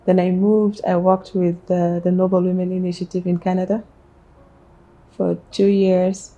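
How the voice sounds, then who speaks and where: A woman with a South Sudanese accent answering interview questions about herself in measured, halting phrases. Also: on the low side, little pitch movement, mellow, lo-fi sound. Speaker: interview guest, businesswoman. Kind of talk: interview, personal life story.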